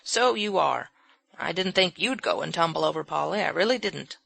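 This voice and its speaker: A woman voicing a blustering old gentleman. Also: on the low side, lively intonation, moderate pace, gravelly, no echo, full character voice. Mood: fussing. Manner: blustering, loud scolding. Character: fussy irascible old gentleman.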